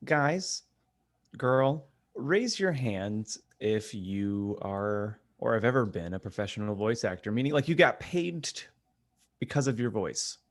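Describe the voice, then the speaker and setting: A man's voice: lowish voice, very animated, measured pace. A man co-hosting a podcast in casual comedic banter, teasing and sarcastic. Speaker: podcast co-host. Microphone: remote video call.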